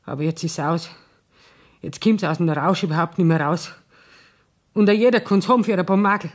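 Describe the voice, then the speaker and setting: A woman's voice: on the low side, normal speed, lightly acted. Plaintive Bavarian woman played by an actress, guarded. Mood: complaining. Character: worried, complaining Bavarian woman, wary police informant. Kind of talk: 1970s German radio play acting.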